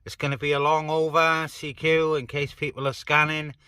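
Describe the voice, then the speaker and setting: A man's voice: on the high side, flat delivery, normal speed, sharp tone, clean tone, dry recording, clean studio sound. A man operating CB radio, chatting casually and making calls in a hesitant, conversational way with radio phrases. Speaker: CB radio operator, net helper.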